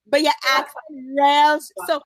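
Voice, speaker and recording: A woman's voice: high-pitched, flat delivery, moderate pace, fairly bright tone, no echo. Chatty teasing woman host, dry sound. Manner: teasing, chatty, hesitant fillers. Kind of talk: casual interview, remote.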